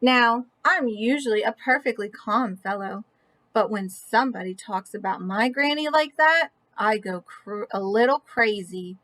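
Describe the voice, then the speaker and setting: A storybook wolf, read aloud by a woman, pleads his innocence in a high voice. He is folksy and aggrieved, forever excusing himself as he narrates to young children. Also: measured pace, a bit bright, low-quality recording. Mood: aggrieved, mock-innocent. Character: indignant, self-excusing male wolf telling his story.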